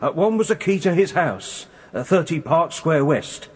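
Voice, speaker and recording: An actor playing a deferential, slightly hesitant British police constable giving factual evidence in a radio courtroom drama. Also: male voice, fairly high pitch, sing-song, moderate pace, darkish tone, breathy edge. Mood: dutiful, nervous.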